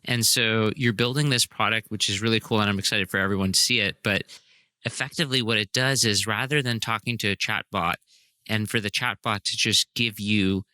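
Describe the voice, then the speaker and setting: A man's voice: lowish voice, steady tone, brisk, bright, forceful tone, no echo. A man hosting a podcast who talks casually and eagerly, often stumbling and repeating words. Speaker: podcast host. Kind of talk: casual interview talk.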